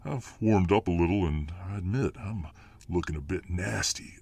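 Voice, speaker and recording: A man's voice: low-pitched, normal speed, creaky, darkish tone, husky, exaggerated voice. A man playing a huge, confident but modest alpha fireman hero in a casual, self-assured ASMR roleplay. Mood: modest pride. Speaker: voice actor in audio roleplay.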